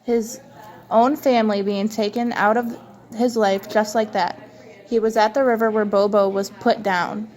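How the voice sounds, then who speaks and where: A woman's voice: mid-range voice, flat delivery, measured pace, a little mellow. A woman with a mid-range voice works as a news co-presenter. She reads prepared copy aloud in the format of a scripted report.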